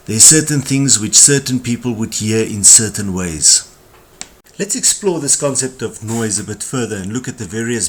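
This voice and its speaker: A man with a South African accent giving a communication skills tutorial, explaining ideas in a conversational way with emphatic asides. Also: on the low side. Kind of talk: tutorial lecture.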